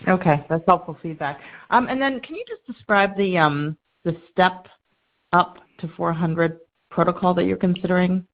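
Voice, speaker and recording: A woman's voice: lowish voice, moderate pace. A woman calling in as a financial analyst, asking questions on an earnings call in polite, tentative phrasing. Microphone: telephone. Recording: tinny, narrow phone line.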